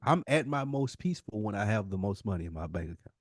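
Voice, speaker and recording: A man's voice: fairly low pitch, lively intonation, fast, no echo. Emphatic man debating on a podcast, close dry sound. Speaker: podcast host.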